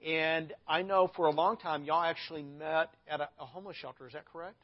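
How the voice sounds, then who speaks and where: A man's voice: on the high side, fairly even intonation, a little fast. A man, a pastor hosting a casual interview, talking in a rambling way with restarts. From Arkansas. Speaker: pastor and host. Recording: dull lo-fi audio.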